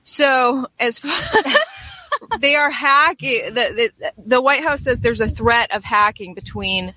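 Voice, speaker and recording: A woman's voice: on the high side, very animated, slow, pushed and bright, a little hiss or hum, rough recording. A woman hosting a casual live chat show in an upbeat, informal way. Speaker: talk show host.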